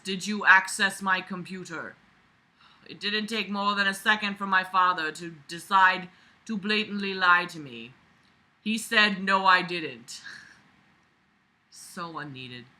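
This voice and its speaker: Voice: mid-range voice, unhurried, sharp tone, rough recording. A reader going through a book aloud with sarcastic running commentary, exasperated, blunt and prone to swearing.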